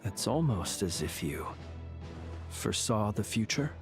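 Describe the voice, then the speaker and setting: A man's voice: fairly low pitch, sing-song, a little slow. An actor voicing a polite, composed male ally. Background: game music.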